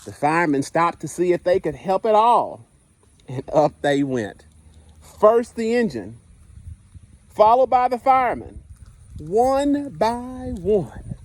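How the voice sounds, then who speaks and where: A man's voice: high voice, sing-song, takes it slowly, pauses often, dry recording. A man, a school principal, reading a children's picture book aloud expressively, with asides to the listeners.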